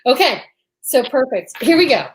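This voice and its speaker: A woman's voice: sits high, big pitch swings, normal speed, noisy background. A woman co-hosting an old-time radio podcast, chatting casually and introducing the show in a gushing, theatrical way.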